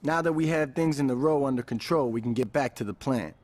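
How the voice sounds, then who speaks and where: Easygoing gang lieutenant, mid-pitched man acting. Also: a little fast, darkish tone, lightly acted. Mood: businesslike. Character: street gang strategist, easygoing, businesslike lieutenant. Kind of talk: video game cutscene acting.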